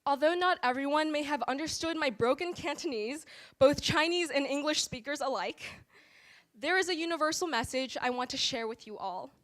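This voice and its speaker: A teenage girl with a high, light voice reads a prepared acceptance speech. Her delivery is formal and measured, with a sincere, earnest tone. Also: a bit bright. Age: teens. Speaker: student award honoree. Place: awards event hall.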